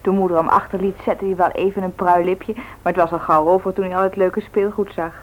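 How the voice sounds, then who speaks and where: A woman's voice: lowish voice, fairly even intonation, a little fast, narrow, tinny audio, natural voice. An actress in a Dutch radio play, voicing a chatty, attentive young woman. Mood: chatty, helpful. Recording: old radio recording with faint noise.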